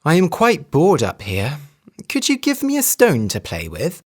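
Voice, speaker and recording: A storyteller voicing a wily female hare who sweet-talks and tricks others, in a raised, lively voice. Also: medium pitch, a little slow, breathy edge, full character voice. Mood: coaxing. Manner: sweet-talking, sly, bright and loud.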